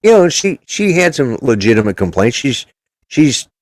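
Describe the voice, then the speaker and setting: A man's voice: medium pitch, very animated, moderate pace, darkish tone, no room sound, clean studio sound. An older man hosting a casual political chat show, talking in a blunt, rambling, opinionated way. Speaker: podcast host.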